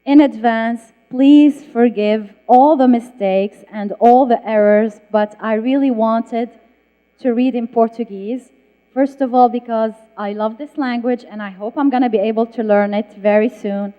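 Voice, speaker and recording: A woman's voice: fairly high pitch, fairly even intonation, unhurried. A woman, an author telling a story on a festival panel with emphatic restarts. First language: Arabic. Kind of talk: public panel talk with audience. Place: festival hall stage. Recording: dull, echoey hall sound.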